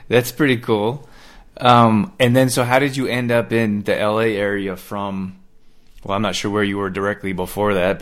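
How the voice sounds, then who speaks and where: A man's voice: fairly low pitch, fairly bright tone. A man hosting a podcast, upbeat and friendly as he interviews a guest. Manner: upbeat and friendly, laughs easily. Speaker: podcast host.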